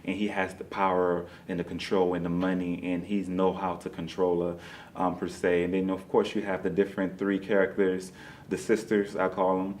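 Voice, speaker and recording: A man's voice: low voice, monotone, normal speed, darkish tone, slight background noise. A man being interviewed as a playwright, talking casually and conversationally, often saying "you know.".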